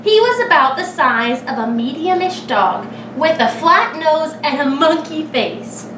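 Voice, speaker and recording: A woman reading a picture book aloud to young children as a kindergarten teacher, expressively, with dramatic pauses and thinking aloud as she asks the listeners questions. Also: high-pitched, animated. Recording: echoey room, distant mic, clear background noise.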